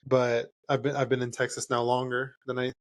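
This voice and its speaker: A man's voice: medium pitch, monotone, a little fast. A man from California whose first language is Spanish, a band member answering interview questions in a loose, rambling way with frequent laughs and restarts. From California, USA. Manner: rambling, self-correcting, laughs often.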